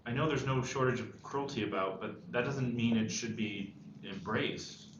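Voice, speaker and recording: A man playing an earnest, hesitant high school senior boy in a screenplay table read. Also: moderate pace, close to an everyday voice. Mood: wary, pleading. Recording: echoey, dull, faintly noisy room recording.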